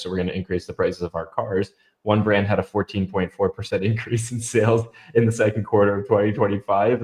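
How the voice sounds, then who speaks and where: A man's voice: lowish voice, a little mellow. A young man with a stuffy, nasal voice, co-hosting a casual live stream and reading out viewer comments in an upbeat way. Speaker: podcast co-host.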